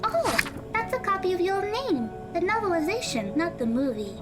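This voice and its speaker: Over background music, a woman plays a studious teenage girl with a very high, animated voice. She is earnest and encouraging, choosing her words precisely and formally, in the manner of an audio drama. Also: moderate pace. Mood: encouraging, helpful.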